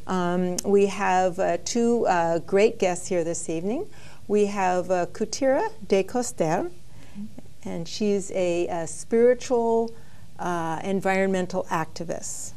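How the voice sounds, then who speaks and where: Woman talk show host with a mellow tone and friendly, halting introductions. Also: mid-range voice. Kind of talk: hosting an interview show.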